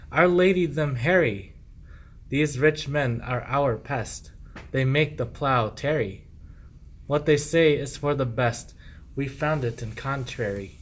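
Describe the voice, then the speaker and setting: A man playing a downtrodden, bitter shepherd in a radio play, declaiming verse in measured phrases. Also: mid-range voice. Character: downtrodden male shepherd, bitter at rich oppressors.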